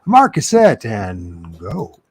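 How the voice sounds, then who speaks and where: A man's voice: fairly low pitch, takes it slowly, slight background noise. A man co-hosting a casual live stream, joking around and laughing often. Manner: jokey, laughs often, animated. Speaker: podcast co-host.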